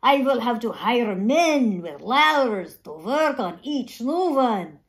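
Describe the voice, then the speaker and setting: A woman's voice: on the high side, very animated, slow pace, voice pushed up. A woman voicing an exasperated, dramatic old grandfather in a storybook, with theatrical exclamations and sighs.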